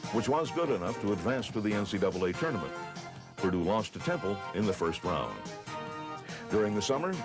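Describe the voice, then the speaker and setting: Low-voiced American male newsreel narrator, lively inflection. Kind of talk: scripted narration. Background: music bed.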